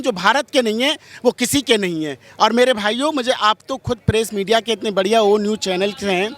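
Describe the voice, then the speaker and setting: A man's voice: sits high, a bit bright. A man speaking as a religious figure in an interview, strident and combative, firing off rhetorical questions in an impassioned way. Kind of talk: impassioned street interview answers.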